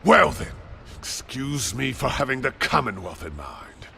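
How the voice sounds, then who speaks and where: An actor playing an arrogant, wealthy bully in a video game, haughty, impatient and sarcastic. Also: male voice, mid-range voice, very animated, a little slow, creaky, pushed and bright, a little hiss or hum, heavily acted. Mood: sneering.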